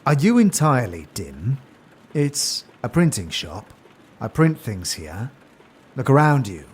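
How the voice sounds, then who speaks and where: An irritable printer from a fantasy audio drama. He is snappish and scornful, his pitch moving freely as he brushes people off. A faint noise sits behind the voice. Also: sing-song, a little slow. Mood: irritated. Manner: curt, snappish, condescending. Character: irritable tight-lipped stooped printer of uncertain age.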